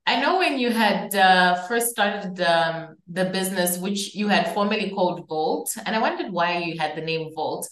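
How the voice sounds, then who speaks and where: A woman's voice: fairly low pitch, animated, fairly bright tone. Woman host asking questions in a roomy space. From Zambia. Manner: measured, thoughtful questioning with fillers. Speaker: interviewer, host. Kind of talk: interview questions. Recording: roomy echo.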